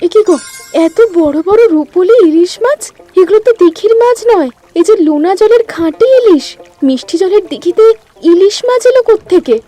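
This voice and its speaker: A woman's voice: very high-pitched, normal speed, soft background music. Woman doing a fretful fisherman's wife. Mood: astonished. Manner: pleading, excitable. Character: fisherman's worried young wife, anxious but loving. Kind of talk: cartoon voice acting.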